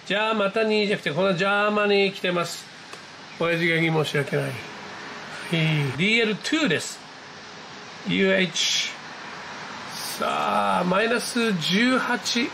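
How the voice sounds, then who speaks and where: A man with a high-pitched voice narrates his hobby video in a casual, excitable way. He exclaims and talks half to himself. It is recorded on a handheld camera at home, with some noise behind him. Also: moderate pace, creaky, long pauses. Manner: exclamatory, talking to himself and the screen. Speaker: amateur radio hobbyist narrating own video. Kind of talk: excited casual running commentary to viewers. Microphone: handheld camera mic, pointed at computer screen.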